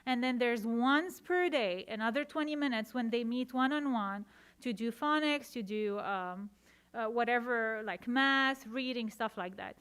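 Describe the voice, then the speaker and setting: Woman from Lebanon, parent at a public hearing; earnest, halting delivery; clear, resonant voice. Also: on the high side, a bit bright. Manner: earnest, halting with frequent fillers. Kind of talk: public comment at a board meeting. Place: school board meeting room.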